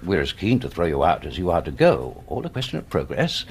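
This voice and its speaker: An actor playing a brisk, sardonic, superior British surgeon in a radio drama, with a dry lecturing manner. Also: male voice, sits low, big pitch swings, darkish tone, husky, exaggerated voice. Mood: stern, wry.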